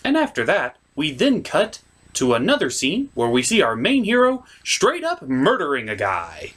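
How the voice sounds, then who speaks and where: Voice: medium pitch, wildly swooping pitch, normal speed, fairly bright tone. Theatrical comedy recap host, swooping delivery. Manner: theatrical, exaggerated. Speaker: host and narrator. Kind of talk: comedic scripted movie recap.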